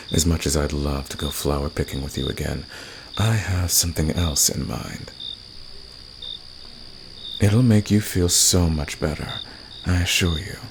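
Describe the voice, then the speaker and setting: A man playing a flirtatious, teasing fantasy villain with a secretly caring side, speaking intimately to the listener in an ASMR roleplay with a gravelly, seductive delivery. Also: extremely low pitch, unhurried, pauses often, exaggerated voice. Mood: gentle, caring. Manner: teasing, seductive, soft-spoken. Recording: noisy, with nighttime crickets and insects. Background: cricket and insect night ambience.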